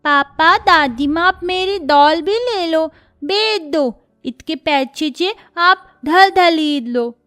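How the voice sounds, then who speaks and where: A woman's voice: very high-pitched, animated, takes it slowly, a bit bright, soft background music, voice pushed up, full character voice. A woman voicing a sweet, eager little girl in a cartoon, with babyish pleading and a childish lisp. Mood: sweetly pleading.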